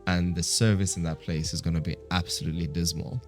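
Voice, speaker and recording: A man's voice: sits low, steady tone, fast talker, faint music behind. A man with an East African accent talking casually and reflectively in conversation, as a guest on a talk show. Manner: reflective, talkative, peppers English with some Swahili.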